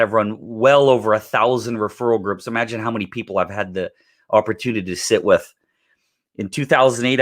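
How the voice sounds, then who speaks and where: A middle-aged man with a raspy voice from recent illness, giving an emphatic live training on real estate networking and often clearing his throat. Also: medium pitch, fairly bright tone.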